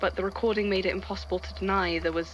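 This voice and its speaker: A woman playing a testy male archivist who reads eerie witness statements aloud in an audio drama, in a measured storytelling delivery. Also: mid-range voice, steady tone, muffled recording, a little hiss or hum, close to an everyday voice. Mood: reflective, uneasy.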